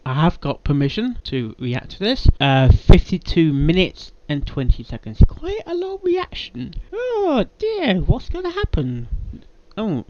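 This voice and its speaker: Animated man reacting casually, lo-fi sound. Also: high-pitched. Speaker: reaction video host. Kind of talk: casual live reaction commentary. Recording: dull lo-fi mic, faint noise.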